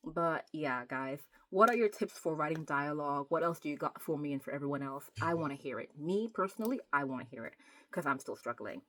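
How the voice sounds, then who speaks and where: A woman's voice: low voice, lively intonation, a little fast, fairly bright tone. A woman chatting casually as a book vlogger, talking emphatically and repeating words to stress them. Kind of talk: casual chatty vlog about writing.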